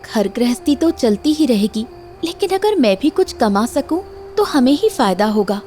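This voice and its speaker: Cartoon village wife, woman performer: high-pitched, sincere, emotional, vulnerable but hopeful. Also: big pitch swings, normal speed, dark tone, soft background music. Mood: shy, hopeful. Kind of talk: cartoon moral story voice acting.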